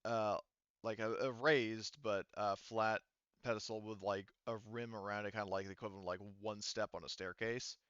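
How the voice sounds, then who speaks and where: Man, lowish pitch, smooth tone, tabletop game master, halting improvised narration, dry but muffled recording. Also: fairly even intonation, fairly bright tone, dry recording. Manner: halting, searching for words while describing scenes.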